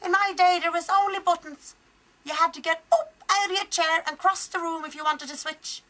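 A young woman voicing a foul-mouthed, gleeful old Irish granny ghost in a radio comedy, with a high, cackling, crude voice. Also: fairly even intonation, normal speed, pushed and bright. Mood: grumbling. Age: young adult. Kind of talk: improvised radio comedy acting. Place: large private home.

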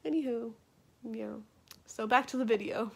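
A woman's voice: a little high, normal speed, vocal fry. Chatty young woman vlogging on phone. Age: young adult. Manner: chatty, rambling with many fillers. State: hoarse, losing her voice. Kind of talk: casual vlog chat. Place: car and rooms, phone held at arm's length. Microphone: phone at arm's length.

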